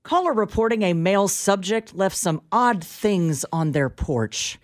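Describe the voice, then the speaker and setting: An American woman co-hosts a radio show in a mid-range, very animated voice. She banters casually and comically. Her delivery is teasing, sometimes mock-official. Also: mid-range voice, a little slow.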